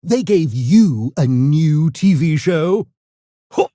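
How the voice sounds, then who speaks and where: An actor voicing a smug, erudite genius gremlin for a video game, with pompous, mock-genteel, theatrical diction that tips into gleeful mania. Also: male voice, on the high side, expressive, slow, mellow, dry recording, studio quality. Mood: smug, taunting. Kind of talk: video game character voice lines.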